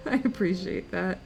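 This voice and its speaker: A woman's voice: medium pitch, creaky, fairly bright tone, low-quality recording. A young woman streaming while she draws, chatting and teasing her viewers, tired, with her voice breaking. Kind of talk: casual chat while drawing on stream. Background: faint music.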